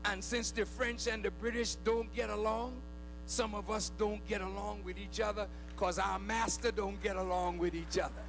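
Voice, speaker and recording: A man's voice: sits high, steady tone, moderate pace, creaky, over music. A man preaching in a fiery oratorical style, speaking emphatically in short phrases and prodding his listeners with questions. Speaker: preacher addressing a congregation. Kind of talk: fiery oratory, sermon-like public speech.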